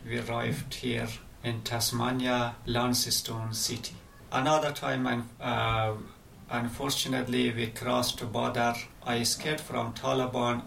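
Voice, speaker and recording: A middle-aged man from Afghanistan, a guest in a radio interview, telling his life story in halting full of pauses and fillers. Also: mid-range voice, vocal fry, fairly bright tone. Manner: halting non-native English with many pauses and fillers. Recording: faint background noise.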